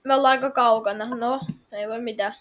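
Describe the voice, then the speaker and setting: Woman gamer, very high voice, excitable, repetitive short callouts during casual online play. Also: dull audio. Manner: excitable, repeats short phrases and exclamations. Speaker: gamer playing an online game with others. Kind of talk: casual gameplay chatter with excited shouting.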